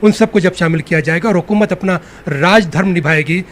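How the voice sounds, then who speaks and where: A man's voice: sits high, fairly quick, a bit bright. A man reporting from the field for TV news and putting wordy questions to a guest. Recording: clearly noisy location sound.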